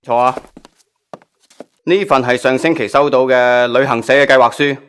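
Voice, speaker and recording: Man acting brisk magazine chief editor. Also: mid-range voice, fairly even intonation, fairly bright tone, a little hiss or hum, close to an everyday voice. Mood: businesslike. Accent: Hong Kong Cantonese. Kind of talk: Cantonese online radio drama acting.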